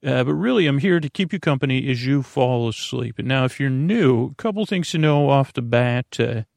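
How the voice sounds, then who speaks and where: A man hosting a bedtime sleep podcast with a creaky voice, meandering and halting in a goofy, self-deprecating way. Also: mid-range voice, dry recording, studio quality. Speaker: podcast host.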